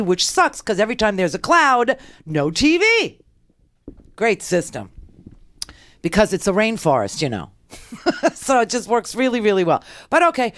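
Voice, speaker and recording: A woman's voice: medium pitch, no echo. A woman radio host with a New York accent, chatting in a rambling, sarcastic way. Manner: animated, rambling, sarcastic asides. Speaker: radio talk show host. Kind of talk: casual talk radio chat.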